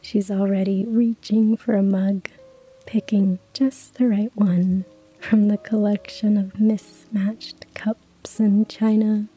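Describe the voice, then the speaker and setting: A woman narrating a cozy story in a hushed ASMR whisper, with soft laughs. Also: medium pitch, flat delivery, takes it slowly, breathy edge, soft background music, low-quality recording.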